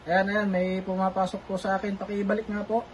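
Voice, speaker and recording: A man's voice: high voice, flat delivery, a little fast, slight background noise. A polite man making a casual two-way radio call as an amateur operator, repeating himself for clarity. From Philippines.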